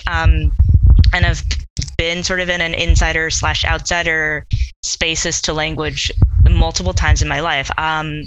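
A woman's voice: deep voice, bright, forceful tone, clean tone, rough recording. A woman whose first language was Korean, talking in an interview about her teaching in a reflective way, with many fillers and hedges. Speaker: law instructor, interviewee. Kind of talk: conversational interview.

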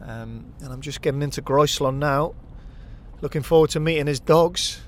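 A man's voice: a little high, normal speed, slight background noise. A man with a Welsh accent whose first language is Welsh, hosting a podcast and chatting casually while driving. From Wales. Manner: chatty and upbeat. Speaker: podcast host. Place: in a car on the road.

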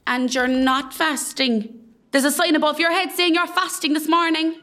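A woman's voice: sits high, fairly even intonation, normal speed, pushed and bright. A woman playing a good-humoured, teasing hospital tea lady in an amateur radio comedy, with an Irish accent and a bantering manner. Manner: bantering, mock-exasperated.

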